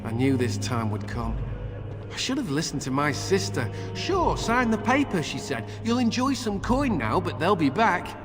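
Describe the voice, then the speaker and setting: Animated rueful grumbling commoner. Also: male voice, on the high side, moderate pace. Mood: rueful, sarcastic. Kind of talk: fantasy video game voice acting. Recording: music clearly behind.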